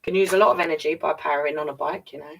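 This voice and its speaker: A woman's voice: deep voice, steady tone, racing, gravelly, a bit bright, slightly rough, distant mic, rough recording. A woman reading a picture book to young children, playfully breaking off for chatty asides and questions to the listeners. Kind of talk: read-aloud story time with chatty asides.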